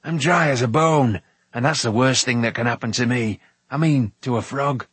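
A man's voice: mid-range voice, moderate pace, a bit bright, breathy edge, no room sound. A man voicing an irascible dwarf trapped in a frog's body for an audiobook, snappish, scornful and blustering. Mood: urgent, grumbling. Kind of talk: audiobook character voice.

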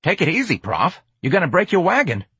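A man's voice: high-pitched, wildly swooping pitch, brisk, bright, forceful tone, breathy edge, no echo, voice pushed up, exaggerated voice. A man voicing a cocky, streetwise young male hustler who wheedles in casual slang for an audiobook. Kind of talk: audiobook character acting.

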